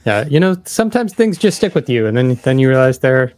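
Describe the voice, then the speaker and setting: Male podcast interviewer, mid-pitched, dry recording, informal and a little rambling in casual conversation. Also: big pitch swings, fairly quick, a little mellow, dry recording. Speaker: podcast host.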